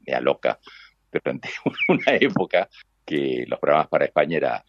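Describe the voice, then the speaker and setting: An older man from Argentina, a radio announcer with a deep booming voice and an Argentine Spanish accent, telling stories from his life in a relaxed conversation. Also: moderate pace, creaky, dry recording, dull audio. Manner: warm reminiscing, acts out quoted voices. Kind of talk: conversational storytelling.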